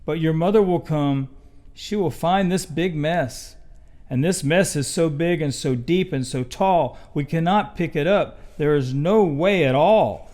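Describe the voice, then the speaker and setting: A man's voice: on the high side, a little slow, a little mellow, natural voice. A man voicing a fretful, scolding pet fish from a storybook, emphatic and worried.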